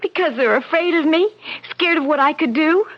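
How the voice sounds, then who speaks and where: An actress playing a frightened young woman student who speaks in a nervous, halting way, in a 1970s radio drama. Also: high-pitched, close to an everyday voice. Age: young adult. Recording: muffled old radio broadcast.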